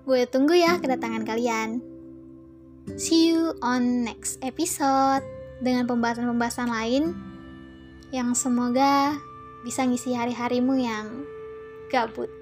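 A woman talks to her podcast listeners in an informal, playful, slangy way. She takes her time, with long unhurried phrasing in a fairly high voice. Soft plucked guitar music plays behind her. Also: fairly even intonation. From Indonesia. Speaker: podcast host. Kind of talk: casual chatty solo podcast monologue.

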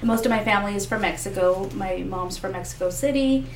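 A woman's voice: mid-range voice, brisk. Earnest woman candidate in an echoey room. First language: Spanish. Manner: earnest, talks in restarts with many fillers. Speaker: political candidate. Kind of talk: interview answers. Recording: echoey room, mic far off, faint noise.

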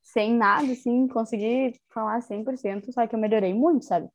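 A woman's voice: on the high side, fairly even intonation, normal speed. A young woman talking casually as an interviewee, chatty and enthusiastic, with many small fillers. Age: young adult. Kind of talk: casual conversation.

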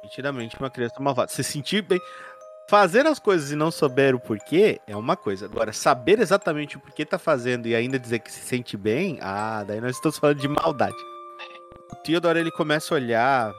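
A man's voice: mid-range voice, expressive. Brazilian guy playing a horror rpg, kinda stumbling and jokey as he says what his character does, music in back. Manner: joking, halting. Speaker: player in a tabletop horror RPG. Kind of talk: improvised tabletop roleplay, narrating his character's actions.

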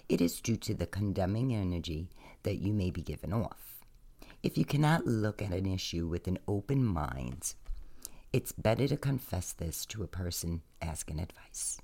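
A man with a low voice full of creak reads aloud from a spiritual text. He takes his time, breaking sentences into short, deliberate phrases. Now and then he steps out of the reading for a casual aside. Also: darkish tone. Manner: slow, deliberate, pausing between short phrases. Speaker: host reading aloud to followers.

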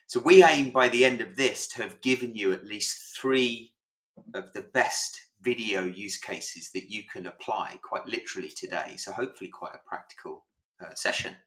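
Man podcast host in an echoey room. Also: medium pitch. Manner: relaxed, lots of fillers. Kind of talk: casual podcast chat.

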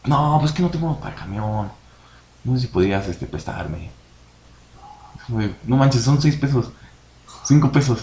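A young man with a Mexican Spanish accent telling an anecdote in a relaxed podcast chat, imitating other people's lines. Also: medium pitch, unhurried, pauses often. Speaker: podcast co-host. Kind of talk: casual storytelling chat. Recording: echoey room, faint noise.

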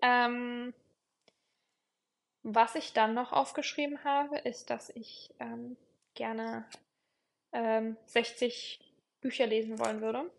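Gravelly-voiced young woman podcaster. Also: on the high side, little pitch movement, takes it slowly, long pauses. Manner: chatty, many fillers, repeats words for emphasis. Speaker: podcast host. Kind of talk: casual solo podcast reflection.

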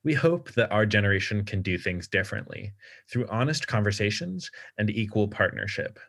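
Young man, podcast co-host, lowish voice, halting casual talk with fillers and restarts. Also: lively intonation, a bit bright, slightly rough. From United States. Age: 20s. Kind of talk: scripted intro then casual chat.

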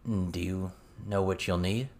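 A man playing a calm, laconic, protective adult man in an audiobook, in a terse, understated manner. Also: low-pitched, measured pace. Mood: calm, supportive.

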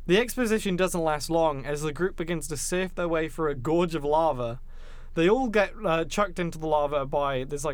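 Man, slightly high-pitched voice, podcast host, casual movie recap, irreverent, sweary. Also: no room sound. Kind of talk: casual film recap and chat.

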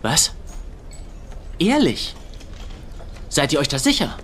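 An actor in a German-dubbed TV comedy playing a cocky, theatrical psychic who teases and chatters. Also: male voice, a little high, big pitch swings, slow, a bit bright, a little hiss or hum, heavily acted. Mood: mock-serious, taunting. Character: flamboyant, cocky man playing a psychic at poker. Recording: music behind the voice.

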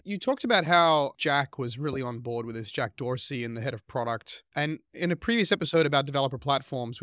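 A man's voice: mid-range voice, a bit bright, no room sound, studio quality. A man co-hosting a podcast, asking long, thoughtful questions. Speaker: podcast co-host. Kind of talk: interview questions.

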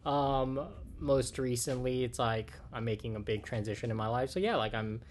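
A man's voice: medium pitch, steady tone, normal speed, slight background noise. A man in his twenties with a Californian American accent, chatting casually in a rambling way, saying "like" often and swearing now and then. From Oakland, California, USA. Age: 20s. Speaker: podcast guest. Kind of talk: casual conversation.

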